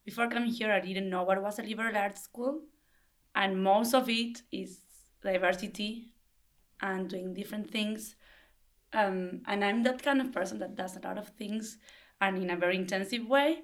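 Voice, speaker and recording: A woman's voice: mid-range voice, moderate pace. A young woman from Argentina, a native Spanish speaker, answering thoughtfully in English while searching for words. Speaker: language assistant, guest.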